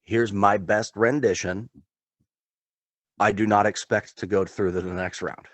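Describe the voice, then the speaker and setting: A man co-hosting a podcast, chatting in a relaxed, easygoing way and often calling his friend dude. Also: lowish voice, unhurried, no echo. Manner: laid-back, chatty, says dude often. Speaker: podcast co-host. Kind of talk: casual chat.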